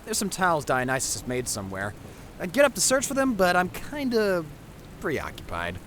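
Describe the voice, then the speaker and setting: A woman's voice: fairly low pitch, wildly swooping pitch, normal speed, a little hiss or hum. A woman voicing a cheeky, chatty young winged messenger god in a fantasy audio drama, breezy and sarcastic. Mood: playful, curious.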